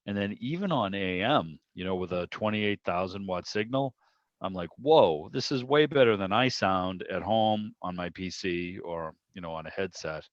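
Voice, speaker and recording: A man's voice: sits low, normal speed. A man chatting casually in a rambling, anecdotal way on a video call. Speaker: radio show producer and interviewer. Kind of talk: casual hobby club chat. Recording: dull, roomy video-call audio.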